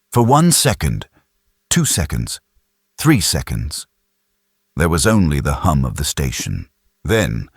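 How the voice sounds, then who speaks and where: A man's voice: very deep voice, breathy edge, no room sound, studio quality. A man narrating a sci-fi audiobook in a grave, dramatic, suspenseful storytelling style.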